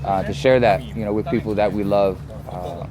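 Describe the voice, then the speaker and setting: An American man speaking reflectively in a documentary interview, measured and thoughtful, often saying "you know". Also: lowish voice, fairly even intonation, crowd noise, rough recording. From United States.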